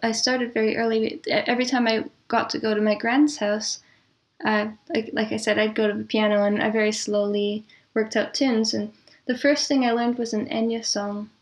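Woman w slightly high voice being interviewed, chatting casually about memories, thoughtful w pauses. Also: flat delivery. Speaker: interview guest, composer and audio drama producer. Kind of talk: casual interview conversation, reminiscing.

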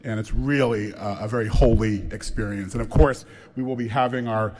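A man's voice: on the low side, steady tone, normal speed. A man serving as head of school gives an informal sermon to a church congregation, chatty and joking with people by name. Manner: warm, chatty, jokes with the audience by name. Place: large church sanctuary. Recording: long reverb of a church hall.

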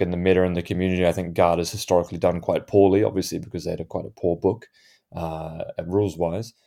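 A man being interviewed as a podcast guest, his voice slightly croaky, answering thoughtfully with frequent restarts. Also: deep voice, mellow. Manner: thoughtful, with many fillers and restarts. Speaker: guest, tournament winner.

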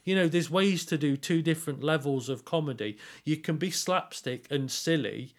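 A man's voice: fairly high pitch, normal speed. A man giving critical opinions in a casual podcast discussion, emphatic and often rephrasing himself as he goes. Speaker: theatre review podcast co-host.

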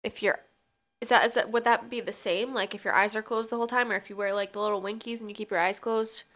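A woman's voice: medium pitch, fairly even intonation, fast talker, fairly bright tone. A young woman co-hosting a podcast, chatting casually with a stuffy, sniffly nose from sneezing, laughing often. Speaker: podcast co-host. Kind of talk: casual chat.

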